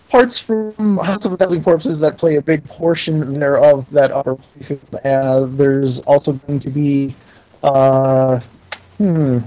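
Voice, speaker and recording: Guy guest on a podcast call, kinda high voice, muffled lofi audio, explaining stuff casually. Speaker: interview guest, pinball game maker. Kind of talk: casual interview chat. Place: remote internet call. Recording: dull lo-fi internet call.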